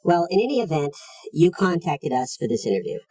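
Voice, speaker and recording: A man working as an interviewer, putting measured, politely challenging questions. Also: a little high, very animated, dark tone, slightly rough, no echo.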